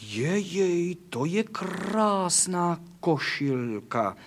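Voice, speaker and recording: A man's voice: high voice, big pitch swings, slight background noise. A man doing a plaintive little nightshirt. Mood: longing. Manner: plaintive, soft and longing.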